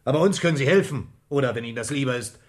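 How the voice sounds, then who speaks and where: An actor playing a gruff, sarcastic, domineering male police inspector in a German radio crime drama, speaking curtly. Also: medium pitch, expressive, very fast, natural voice. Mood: pressing, stern. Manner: curt, commanding, sardonic.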